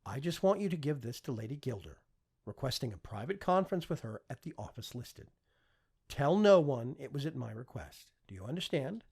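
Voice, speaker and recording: A man's voice: mid-range voice, big pitch swings, fairly quick, gravelly, darkish tone, slightly rough, heavily acted. A man voicing a confident, mischievous young prince in an audiobook, self-assured and commanding. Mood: secretive, commanding.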